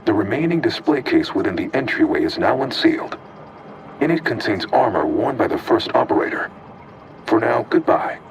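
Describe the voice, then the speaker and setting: A man voicing a calm, formal male archive computer intelligence in a game, speaking precisely. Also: on the low side, normal speed, creaky, recorded from a distance. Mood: measured.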